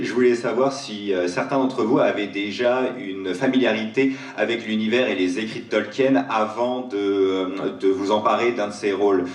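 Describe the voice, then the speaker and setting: Low-voiced man asking, in an echoey hall. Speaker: questioner at a panel. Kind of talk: formal spoken question. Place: large cinema auditorium. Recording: echoey hall, distant mic.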